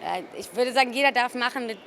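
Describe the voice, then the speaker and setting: A woman from Cologne speaking informally as a gallerist in an interview, often pausing mid-sentence to search for her words. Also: fairly high pitch, bright, forceful tone. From Cologne, Germany. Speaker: gallerist presenting artworks. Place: busy exhibition hall at an art fair. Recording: noisy art fair ambience. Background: ambient fair noise.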